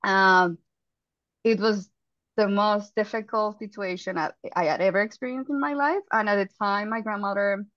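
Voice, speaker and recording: A woman in her thirties with a Colombian accent, a startup founder telling her story on a webinar panel, earnest and quick, with restarts. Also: mid-range voice, fairly bright tone, no room sound. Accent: Colombian Spanish. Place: home office, indoors. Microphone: headset. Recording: dry, lo-fi video call audio.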